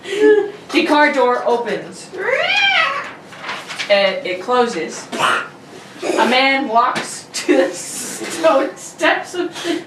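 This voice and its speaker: A woman's voice: a little high, very animated, extremely slow, pauses often. A woman narrating an amateur radio drama from a script, playfully and dramatically. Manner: playful, prone to giggling and ad-libs. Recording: roomy, distant mic with faint noise.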